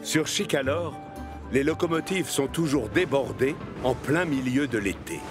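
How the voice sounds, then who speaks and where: A man's voice: mid-range voice, measured pace. A man giving scripted narration for a children's cartoon in a storytelling manner. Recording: music behind the voice.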